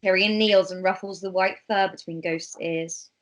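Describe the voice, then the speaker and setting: Woman narrating script directions, mid-pitched. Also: fairly even intonation, moderate pace. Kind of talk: scripted narration in an amateur table read.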